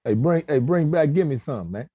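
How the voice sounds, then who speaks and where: A man chatting excitedly and casually with fellow actors, insistent and repeating himself. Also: fairly high pitch, normal speed, no room sound, phone-quality sound. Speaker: actor in a table read. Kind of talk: excited casual group chat.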